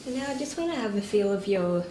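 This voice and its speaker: A woman's voice: medium pitch, expressive, fast, dark tone. A woman examining a patient, politely giving step-by-step instructions in short, courteous requests. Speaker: clinical examiner. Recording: echoey, distant mic, noisy background.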